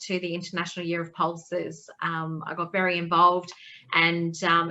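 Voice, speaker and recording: An Australian woman with a fairly low but bright, forceful voice talks through her career in a loose, conversational way. She doubles back on her words and fills gaps with small pauses. The audio sounds dull and lo-fi. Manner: conversational, frequent restarts and fillers. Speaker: guest. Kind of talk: remote interview answers.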